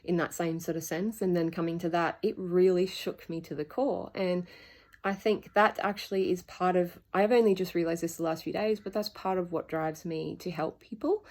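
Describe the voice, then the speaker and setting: Earnest woman musing in a low voice. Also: a little mellow. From Solomon Islands. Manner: earnest, meandering, searching for words. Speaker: spiritual healing channel host. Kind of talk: reflective solo monologue. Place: outdoors at dusk.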